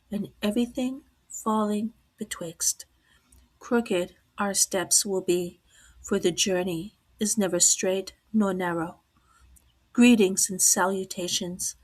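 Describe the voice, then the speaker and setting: Slow, hoarse woman podcast host. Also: mid-range voice, low-quality recording. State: losing her voice, hoarse. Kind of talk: slow, deliberate talk on the occult.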